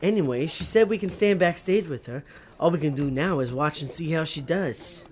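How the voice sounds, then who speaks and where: A woman playing a good-hearted, eager and none-too-bright underling in an audio drama, with simple, unpolished speech. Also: deep voice, normal speed, natural voice. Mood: casual. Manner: simple, unpolished grammar, excitable.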